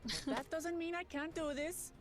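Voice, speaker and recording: Spirited young warrior-princess. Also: female voice, very high-pitched, moderate pace, faint music behind. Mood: determined. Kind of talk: video game voice acting.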